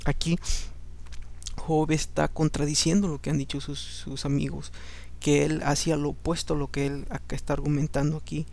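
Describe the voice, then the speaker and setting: A man with a gravelly, somewhat high voice reads scripture aloud for his podcast, staying level and even throughout. His first language is Spanish, and he drops English words into his relaxed commentary. He sounds tired, recording late at night. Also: moderate pace. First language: Spanish, English second. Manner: conversational, slips English words in. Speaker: podcast host and Bible reader.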